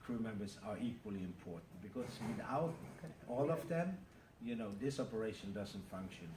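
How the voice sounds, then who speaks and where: A Norwegian ship captain in his fifties, speaking in a measured, factual way with frequent pauses. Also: on the low side, lively intonation. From Norway. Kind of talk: interview answers. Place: aboard a large cruise ship. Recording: roomy, distant, noisy ambience.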